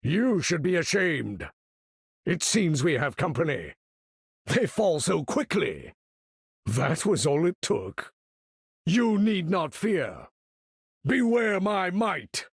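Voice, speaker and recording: A man's voice: fairly high pitch, sing-song, slow pace, pauses often, breathy edge, no echo, studio quality, heavily acted. A man voicing an imperious older supervillain in a video game, commanding battle with pompous, theatrical, formal diction. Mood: disdainful.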